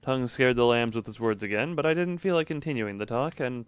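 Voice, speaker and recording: A man's voice: mid-range voice, a little fast, clean tone. A man streaming a visual novel, reading the narration aloud and breaking off for casual asides and small laughs. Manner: reads narration evenly, breaks off for chuckling asides.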